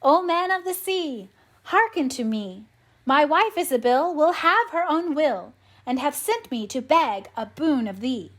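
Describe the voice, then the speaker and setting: A woman's voice: high-pitched, expressive, measured pace, fairly bright tone, pitched above the performer's own voice. A woman voicing a henpecked, reluctant fisherman in a mournful, pleading storybook manner. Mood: chanting, pleading.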